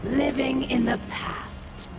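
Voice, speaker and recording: A woman's voice: high voice, slow pace. An actress playing a ruthless female alien warlord in a video game, commanding and contemptuous. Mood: cold scorn. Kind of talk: video game villain acting. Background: loud cinematic game music.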